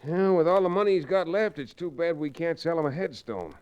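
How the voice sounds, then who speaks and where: A man's voice: on the high side, a little fast, clear, resonant tone, highs cut off, lightly acted. A man playing a cool, wry frontier hero with a folksy drawl in an old-time Western radio drama. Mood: wry, taunting. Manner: calm, dryly taunting, folksy.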